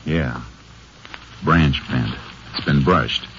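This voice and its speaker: An American actor playing a laconic, steady Texas Ranger in a 1950s radio drama, speaking in clipped, matter-of-fact lines. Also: male voice, rumbling low, extremely slow, exaggerated voice. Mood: calm, watchful. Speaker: lead actor and narrator. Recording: muffled, noisy vintage radio recording.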